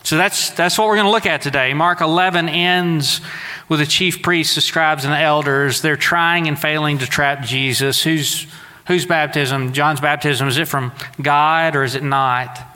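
A man's voice: a little high, steady tone, moderate pace, pushed and bright. A man in his forties from Southeast Texas, preaching a sermon to his church in a folksy, conversational way. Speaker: pastor. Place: church sanctuary. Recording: long reverb of a church sanctuary.

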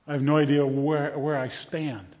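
A man playing an excitable blind beggar of ancient Jerusalem in a dramatic first-person monologue, halting and repeating himself, swinging between hushed and loud. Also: a little high, close to an everyday voice. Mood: hushed, awed. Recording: echoey large hall, slightly muffled.

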